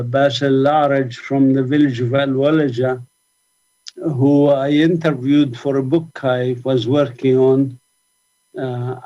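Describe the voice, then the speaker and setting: A man's voice: medium pitch, mellow, muffled recording. A man speaking at a conference about his own activism, reflective, with many fillers and restarts. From Palestine. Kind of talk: conference talk, personal account.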